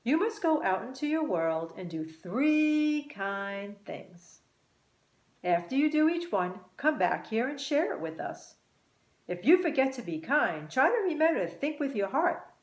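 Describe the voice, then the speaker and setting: A woman voicing a kind, wise butterfly in a children's storybook, gentle, encouraging and instructive. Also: high voice, big pitch swings, a little slow, voice pushed up. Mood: gently instructive. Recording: roomy, echoing room sound.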